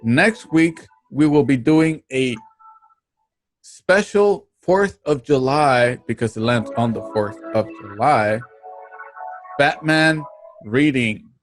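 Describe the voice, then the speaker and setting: A man hosting an online show, giving a casual, halting sign-off with plugs and thanks. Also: mid-range voice, soft background music, low-quality recording. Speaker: host of an online radio drama show.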